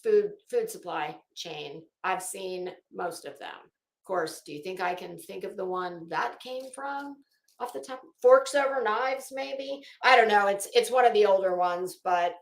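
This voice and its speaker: A woman's voice: mid-range voice, measured pace, reverberant. A woman hosting a casual livestream, chatting to viewers in a rambling, opinionated, emphatic way. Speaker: livestream host.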